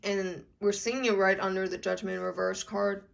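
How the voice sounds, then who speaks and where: A woman's voice: medium pitch, level tone, normal speed, vocal fry. A young adult woman giving a casual tarot reading to camera, chatty and full of "okay?" check-ins, her voice a little congested from allergies. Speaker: tarot reader.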